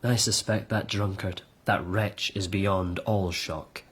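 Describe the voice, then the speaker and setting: An actor in a radio drama playing a high-minded warrior lord disgusted by decadence, grave, lofty and declamatory. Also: male voice, steady tone, slow pace, a bit bright, husky, full character voice. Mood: brooding.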